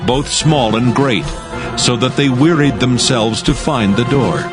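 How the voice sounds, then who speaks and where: Casting: male narrator, mid-pitched, scripted archaic scripture reading, clear music bed underneath. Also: measured pace.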